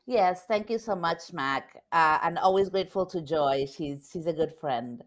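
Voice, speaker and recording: A woman with a markedly low voice speaks conversationally as an interview guest. She grew up in Argentina with Spanish as her native language. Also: unhurried. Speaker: podcast guest, interpreter and business owner.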